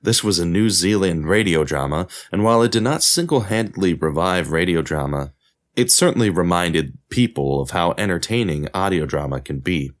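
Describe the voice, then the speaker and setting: Low-pitched man narrating with lively intonation. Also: normal speed. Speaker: podcast host and narrator. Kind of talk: scripted documentary narration.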